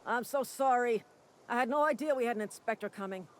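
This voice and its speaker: An actor playing a bumbling, officious corporate town guard in a video game, with a folksy manner that tips into apology. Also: male voice, very high-pitched, brisk. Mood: flustered, apologetic. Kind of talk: video game character acting.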